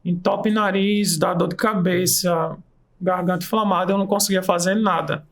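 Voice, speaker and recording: High-voiced gravelly man. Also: fairly even intonation, normal speed. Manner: enthusiastic storytelling, pace shifts. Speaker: aromatherapist and nurse, guest. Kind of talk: casual interview chat.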